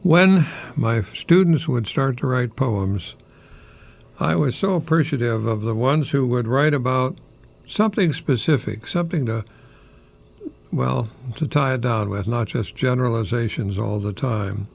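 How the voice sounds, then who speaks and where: An older American man with a very creaky, gravelly voice hosts a folksy radio talk. He rambles gently in a reflective way, stopping mid-phrase. His tone is husky and worn. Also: medium pitch, unhurried. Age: older, retired, 60s or above. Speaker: retired English professor hosting a radio program.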